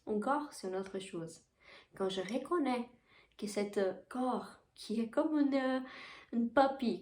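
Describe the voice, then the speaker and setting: A woman who speaks French with a Portuguese accent and talks about her body in a playful, gentle way, with small slips and restarts, recorded at home in her bedroom. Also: on the high side, echoey room. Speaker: spiritual vlogger. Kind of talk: casual spiritual vlog.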